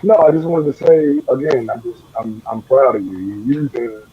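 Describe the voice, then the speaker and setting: Young male co-host, rough low voice, dull recording. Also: lively intonation. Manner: earnest, halting, repeats words. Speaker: co-host, treasurer of the nonprofit. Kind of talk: casual chat. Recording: dull, muffled lo-fi audio.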